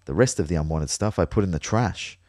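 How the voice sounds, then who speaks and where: An actor playing a reflective, concerned young man, telling his story in a measured, understated way in an audio drama. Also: deep voice, darkish tone, dry recording, clean studio sound. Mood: sombre, reflective. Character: reflective, concerned young boyfriend, a fitness fanatic. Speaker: narrator and lead character. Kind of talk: first-person audio drama narration and dialogue.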